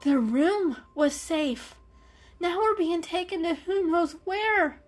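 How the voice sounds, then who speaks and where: A woman's voice: extremely high pitch, slow pace, voice pushed up. A woman voicing a guarded, protective female cat in a dramatic storybook reading. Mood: scolding, alarmed.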